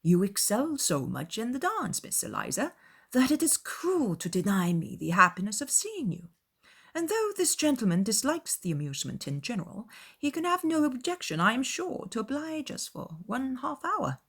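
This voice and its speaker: Casting: female reader as effusive old-world gentleman, courtly and gushing, very animated pitch, some creak, storybook drama. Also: normal speed, full character voice. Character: effusive, gallant older gentleman, Sir William.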